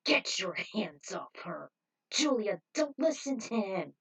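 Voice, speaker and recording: A woman voicing a brash, excitable bounty hunter, loud and emphatic, in an amateur story reading. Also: medium pitch, takes it slowly, gravelly, breathy edge, far from the mic, exaggerated voice. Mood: urgent.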